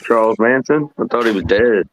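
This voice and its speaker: A man's voice: on the low side, expressive, normal speed, poor audio. A man on a casual livestream panel, cracking dry wisecracks and conspiracy banter. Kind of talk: casual group chat on a livestream.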